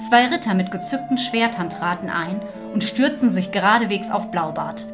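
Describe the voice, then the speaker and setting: A woman's voice: mid-range voice, animated, normal speed. A woman storyteller reading a scripted fairy tale narration. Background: music.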